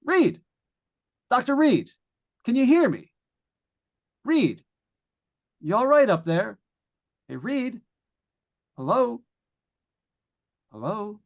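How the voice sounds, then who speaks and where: A man's voice: extremely high pitch, big pitch swings, vocal fry, heavily acted. A man playing a nervous, lonely radio broadcaster unravelling in a haunted house, stammering and rambling in 1940s radio suspense style. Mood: anxious calling out. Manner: stammering, halting, rambling with repeated words. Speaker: actor in old-time radio play. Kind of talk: 1940s radio suspense acting, live monologue.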